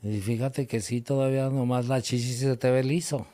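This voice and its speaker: A man's voice: medium pitch, steady tone, fast talker, mellow, no echo, clean studio sound. An older man with a Mexican accent chatting casually as an interviewee. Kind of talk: casual chat. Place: field recording at his house and in a car. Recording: field recording under faint music.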